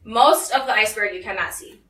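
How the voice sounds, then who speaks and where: A woman's voice: mid-range voice, brisk, sharp tone. A young woman from the United States telling a personal story to a small group, acting out the voices of the people she quotes. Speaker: speaker giving a talk. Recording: echoey room, voice from some distance.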